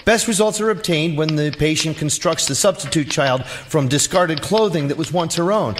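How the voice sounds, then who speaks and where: A man's voice: a little high, moderate pace, natural voice. An actor playing a pompous, coldly certain medical man, lecturing clinically and emphatically in a live radio drama. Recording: live theater recording with clear background noise.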